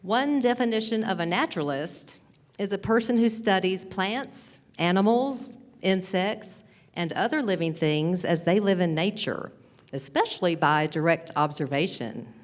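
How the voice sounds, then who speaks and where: A woman with a Texas accent presenting a prepared history talk at a measured, deliberate pace. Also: lowish voice, animated. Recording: reverberant hall.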